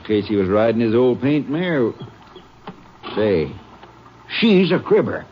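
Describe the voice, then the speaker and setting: A big, good-natured sheriff in an old radio western. His medium-pitched voice is bouncy and folksy, heard through a muffled, lightly hissy recording. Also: sing-song, takes it slowly. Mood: puzzled, obliging. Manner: folksy, faintly indignant. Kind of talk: 1940s-50s radio western acting.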